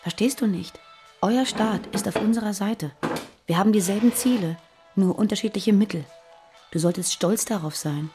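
A woman's voice: medium pitch, moderate pace, dark tone, faint music behind, close to an everyday voice. An actress playing a guarded, earnest woman on the run in a naturalistic radio play. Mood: insistent. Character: guarded, frightened fugitive terrorist woman living under false names. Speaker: radio drama actor.

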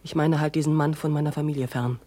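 An actress playing a bitter, wounded, vengeful woman in a German radio drama, husky and accusing. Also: deep voice, steady tone, quick pace, mellow, no echo. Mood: threatening.

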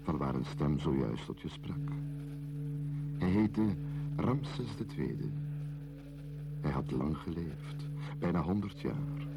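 A man acting as a solemn, contemplative storyteller in a radio play, speaking in a measured, deliberate way. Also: very deep voice, noisy background, full character voice. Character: solemn, contemplative male storyteller of ancient kings and mysteries. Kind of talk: scripted radio play narration, solemn and literary.

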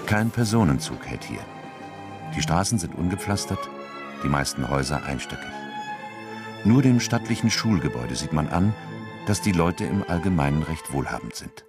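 A man reading scripted literary narration as a narrator, measured and matter-of-fact. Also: a bass voice, very animated. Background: music.

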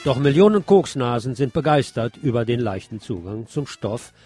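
A man's voice: medium pitch, lively intonation, moderate pace, over loud music. A man presenting scripted radio news, reading reports and adding wry, sardonic asides.

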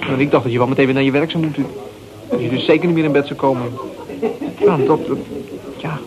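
An actor in a Dutch radio play as a defensive, insecure young man who talks haltingly in coarse, slangy language. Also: medium pitch, steady tone, close to an everyday voice, rough recording. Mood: confessional, vulnerable. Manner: halting, repeating words, coarse slangy swearing. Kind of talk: naturalistic Dutch radio drama acting. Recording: radio play with crowd chatter behind.

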